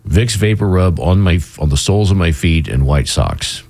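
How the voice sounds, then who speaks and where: Low, husky man with a cold. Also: expressive, a little mellow. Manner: halting, with many restarts and fillers. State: hoarse from a starting cold. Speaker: radio morning host. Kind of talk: casual on-air banter. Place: radio studio. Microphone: studio microphone.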